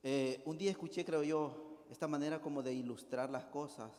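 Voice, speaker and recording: A middle-aged man with a Salvadoran accent preaches in a folksy, conversational way, often checking for the congregation's agreement. His voice sits a little high, and it rings with long reverb from a church hall. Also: moderate pace, a little mellow. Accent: Salvadoran Spanish. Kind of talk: sermon with a storytelling illustration.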